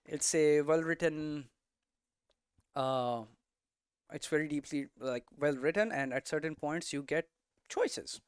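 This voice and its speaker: A man giving an informal explanatory lesson as a presenter, posing questions and answering them himself in halting, emphatic phrases. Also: on the high side, clear, resonant tone, no room sound, clean studio sound. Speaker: presenter of a game development explainer series.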